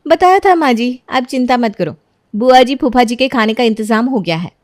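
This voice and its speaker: A woman voicing a careless, defensive young wife in an animated story. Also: a little high, normal speed, no room sound, studio quality, natural voice. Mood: sweet, innocent. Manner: defensive, argumentative.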